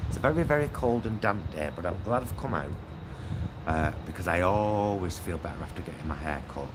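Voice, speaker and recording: A man talking casually to viewers in a halting, reflective ramble, often ending thoughts with little tag questions. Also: lively intonation. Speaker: vlogger. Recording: loud vehicle and street ambience noise. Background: loud vehicle rumble and ambience.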